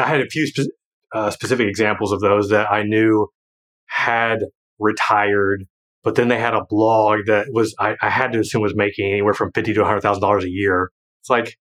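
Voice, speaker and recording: A man in his thirties talks in a loose, rambling way, full of fillers, restarts and easy laughs. His voice sits a little low. It is a relaxed podcast conversation. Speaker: guest, former financial planner and creator.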